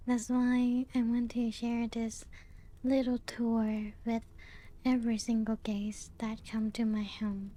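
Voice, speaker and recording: A woman playing a genteel, serene, playful ancient vampire in a soft-spoken ASMR roleplay, with a breathy, often half-whispered voice and gentle lilt. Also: a little high, little pitch movement, slight background noise. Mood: serene, welcoming. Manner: slow, unhurried, gently lilting storytelling. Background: music.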